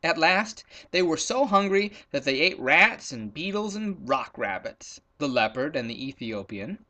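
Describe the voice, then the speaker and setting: A man's voice: on the high side, big pitch swings, a little slow, sharp tone. A man reading a children's story aloud expressively, with playful emphasis on rhythmic lists of words.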